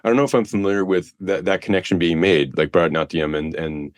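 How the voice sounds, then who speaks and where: A man with a low voice conducts a casual interview as a podcast host. He feels his way into questions, repeating words and halting, in a very dry sound. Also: fairly even intonation, no echo.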